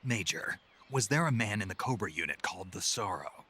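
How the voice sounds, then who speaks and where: An actor playing a gruff, weary middle-aged male soldier in a video game, speaking tersely in a gravelly, husky voice. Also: animated, a little fast, pushed and bright, full character voice. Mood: grave, curious. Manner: terse, low-key, questioning.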